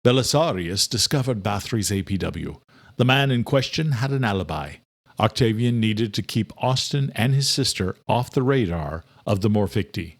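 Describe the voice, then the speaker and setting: A man with a low voice narrates an audiobook. The delivery is scripted and dramatic, in the manner of a thriller story. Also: lively intonation, normal speed.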